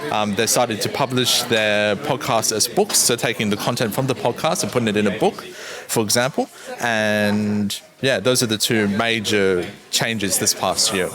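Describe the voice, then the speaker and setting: A man with a low voice gives clear, informative answers at an even pace. A loud crowd chatters all around him at a busy conference, and it stays in the background the whole time. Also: normal speed. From Australia. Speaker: interview guest, podcast producer. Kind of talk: conference interview. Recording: noisy crowd around the mic.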